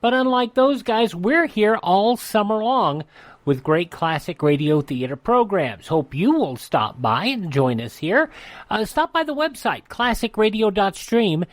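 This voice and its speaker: A man's voice: high-pitched, sing-song, unhurried, no echo. A man hosting a radio programme, delivering an outro and plugs in a studio. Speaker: radio show host. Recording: dry studio with music behind.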